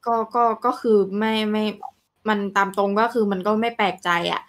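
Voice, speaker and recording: A woman's voice: medium pitch, level tone, moderate pace. A woman co-hosting a podcast, chatting casually in an explanatory, conversational way. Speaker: podcast co-host. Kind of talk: casual chat.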